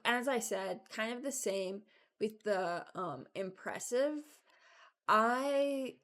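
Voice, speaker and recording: A woman's voice: on the high side, lively intonation, takes it slowly, fairly bright tone. A woman giving casual, unscripted commentary as a video creator, hesitant and thinking aloud. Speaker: video creator ranking game voice lines.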